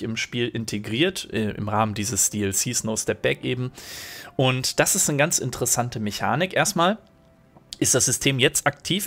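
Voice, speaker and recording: A man's voice: mid-range voice, a bit bright. A German-speaking man commentating a strategy game at his desk, explaining mechanics thoughtfully with many fillers while recovering from a cold. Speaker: let's play host.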